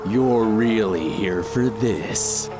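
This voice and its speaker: An actor playing a mocking, theatrical masked villain in an audio drama. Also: male voice, on the low side, extremely slow, loud music behind the voice. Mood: smug taunting. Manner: mocking, theatrical, laughing at foes. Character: masked male villain thief, taunting and swaggering.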